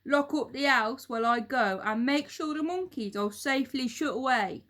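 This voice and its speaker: A woman voicing a furious, nasty old man from a children's storybook, shouting and barking orders like a bully. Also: high voice, normal speed, voice pushed up. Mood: barking, bossy.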